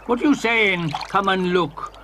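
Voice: medium pitch, measured pace, fairly bright tone, a little hiss or hum, natural voice. A storyteller voicing a grumpy, scolding old sea reptile in a children's story. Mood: grumbling. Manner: grumbling, scolding.

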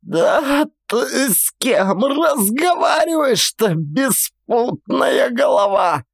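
A man's voice: very high-pitched, very animated, gravelly, no echo, studio quality, voice pushed up, exaggerated voice. A man voicing a furious old Cossack woman with a rattling, cracked voice, scolding her son in a radio-play style story. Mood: enraged. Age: old woman figure. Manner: scolding, wailing, drawn-out.

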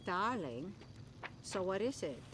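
A middle-aged society hostess for a video game. She is languid and gushing, very slow in delivery with animated pitch. Ambient noise is clearly audible behind her. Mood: languid, grateful. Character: wealthy, affectionate, party-worn middle-aged wife and hostess. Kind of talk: video game cutscene acting.

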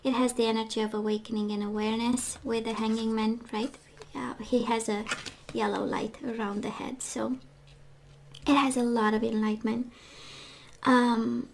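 A woman giving an intimate tarot reading monologue, halting, with phrases that trail into whispers. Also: a little high, creaky, darkish tone, slight background noise. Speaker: tarot reader.

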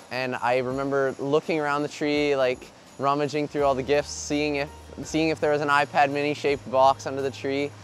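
A young man outdoors in front of a storefront, telling a memory plainly, recorded on a lapel mic. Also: mid-range voice. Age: young adult. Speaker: street interviewee. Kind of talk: casual storytelling.

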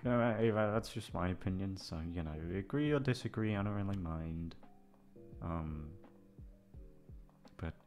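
A man's voice: deep voice, moderate pace, long pauses, a little mellow. A man in his twenties chatting casually and hesitantly to listeners, self-deprecating and swearing freely as he rambles. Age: 20s. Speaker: voice actor addressing listeners. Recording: music playing behind the voice. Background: background music.

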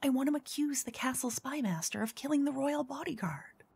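A woman doing a soft-spoken ASMR roleplay as a flirty dungeon master. She teases, then whispers close into each ear in turn. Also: high-pitched, lively intonation, brisk, vocal fry, husky. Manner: teasing, flirty, switching between whispers and soft speech. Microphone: close binaural mic.

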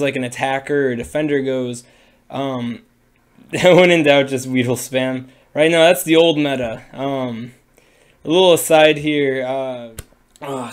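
A young man with a cold-raspy, hoarse voice, talking casually and rambling with many fillers on a livestream from a small room into a webcam. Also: mid-range voice. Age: young adult. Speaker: streamer. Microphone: webcam at desk.

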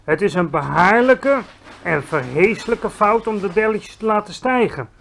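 A big, friendly, eccentric giant, put on by a male storyteller, who bellows his words and stretches them out slowly. He sounds high and lively for a man, exclaiming with muddled grammar as he explains his strange world. A read-aloud storybook voice. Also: creaky, slight background noise, full character voice. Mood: lecturing. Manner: mangled grammar, loud exclamations, drawn-out words.

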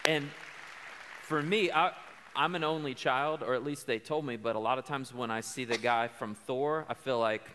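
A man's voice: mid-range voice, normal speed, pushed and bright, a faint crowd. A man, a pastor hosting a casual interview on stage, playful and informal. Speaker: host, pastor. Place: stage in a large indoor auditorium. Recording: reverberant hall, some background noise.

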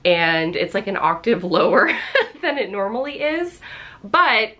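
A woman in her thirties vlogging casually from her home office, slightly hoarse after losing her voice, chatty and upbeat with lots of fillers. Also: medium pitch, sing-song, a little slow, fairly bright tone, dull audio. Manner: chatty, upbeat, many fillers and little laughs. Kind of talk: casual vlog chat. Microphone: camera on the desk facing her.

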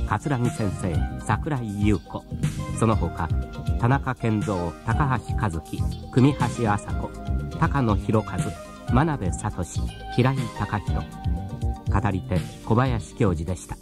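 Low-voiced male radio drama narrator over music. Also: lively intonation, slow.

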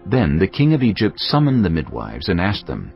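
A deep-voiced man reads scripture in a measured, solemn delivery. His very low voice moves widely in pitch. Soft music plays under a slightly dull recording. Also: huge pitch swings, gravelly. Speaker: narrator. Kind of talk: scripted Bible narration.

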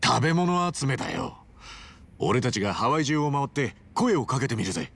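A man playing an earnest, rough-spoken, big-hearted hero in a video game, husky and blunt. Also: mid-range voice, sing-song, normal speed, fairly bright tone, full character voice. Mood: eager, earnest. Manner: blunt, informal. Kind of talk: scripted Japanese video game dub.

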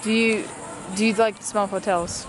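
A woman's voice: medium pitch, steady tone, a little fast, a crowd in the background, noisy background. A teenage girl vlogging in a casual, chatty and giggly way, filming herself at arm's length around a resort hotel. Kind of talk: casual vlog chat. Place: resort hotel room and grounds. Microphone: camera held at arm's length.

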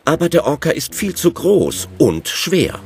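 A man's voice: a little high, wildly swooping pitch, a little slow, creaky, darkish tone, slight background noise. A man narrating a children's audio drama as a scripted storyteller.

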